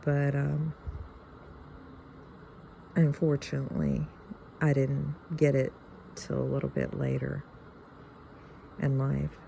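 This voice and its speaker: Woman in her fifties, Southern US accent, creaky dark voice, halting reflective vlog chat, light ambient noise. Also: rumbling low, flat delivery. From Louisiana, United States. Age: fifty-one. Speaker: video channel host. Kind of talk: casual reflective chat to viewers.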